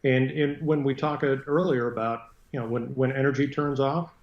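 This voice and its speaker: A man's voice: medium pitch, little pitch movement, moderate pace. An American man speaking as an interview guest, blunt and matter-of-fact in a conversational style. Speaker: interview guest, retired military fighter pilot and aviation businessman. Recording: roomy echo, dull muffled sound.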